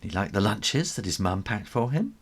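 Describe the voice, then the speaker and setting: A man reading a children's story aloud in an expressive, animated style with conversational asides. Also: on the low side, moderate pace, slight background noise. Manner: expressive, with chuckles and spoken asides.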